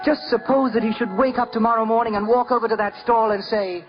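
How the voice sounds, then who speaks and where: A man playing a tender, theatrical storyteller, narrating a scripted 1950s radio drama in a deliberate, expressive way. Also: very high-pitched. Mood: wondering, excited. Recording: dull 1950s radio recording. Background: music.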